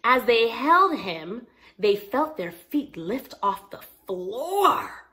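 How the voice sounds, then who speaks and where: A woman storyteller with a high, very animated voice reads for young children. She takes her time and speaks expressively, drawing listeners in as she goes. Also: very slow. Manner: expressive, engaging listeners with asides and questions.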